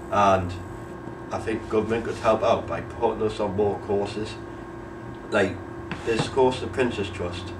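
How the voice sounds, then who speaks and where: A man, answering interview questions in a plain, hesitant way. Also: low-pitched. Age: 20s. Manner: plain-spoken, hesitant with fillers. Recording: distant mic with clear background noise.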